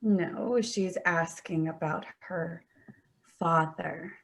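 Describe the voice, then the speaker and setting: A woman's voice: on the low side, very slow, low-quality recording. A woman playing an exasperated, defensive adult daughter in a staged play reading, speaking tersely and bristling. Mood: guarded, terse.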